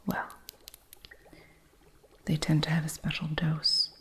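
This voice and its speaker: A woman playing a female witch shopkeeper who teaches an apprentice, in a soft whisper with dry humor, for an ASMR roleplay. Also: low voice, monotone, takes it slowly. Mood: hushed.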